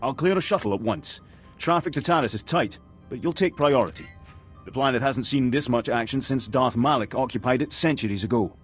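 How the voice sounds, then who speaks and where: A man acts a dutiful officer of a space empire in a video game. His voice is mid-pitched and formal, with a brisk military manner and faint music behind it. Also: expressive, lightly acted. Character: businesslike, dutiful male Imperial spaceport officer.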